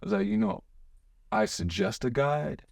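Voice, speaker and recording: A man hosting a podcast in an excitable, rambling way, full of restarts and fillers. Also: medium pitch, moderate pace, gravelly, no echo, clean studio sound. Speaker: podcast host. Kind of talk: casual chat.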